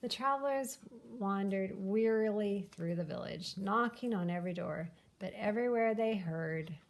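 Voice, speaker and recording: Female storybook narrator, mid-pitched with noticeable vocal fry, for a children's folktale. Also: moderate pace, slight background noise. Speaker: storybook reader.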